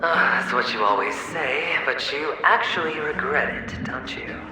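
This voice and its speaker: An actor voicing the mocking, theatrical inner self of a young gambler in a video game, with drawn-out, swaggering delivery and an echo on the voice. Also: male voice, mid-range voice, expressive. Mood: needling. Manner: mocking, drawn-out, sing-song swagger with sighs and chuckles. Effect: echoing reverb on the voice. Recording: echoing game cutscene with music. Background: music.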